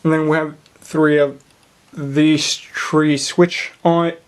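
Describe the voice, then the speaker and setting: Slow, hesitant Swedish-accented hobbyist. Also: mid-range voice, flat delivery. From Sweden. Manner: hesitant, searching for English words. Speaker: hobbyist showing a model build. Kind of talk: casual show-and-tell narration.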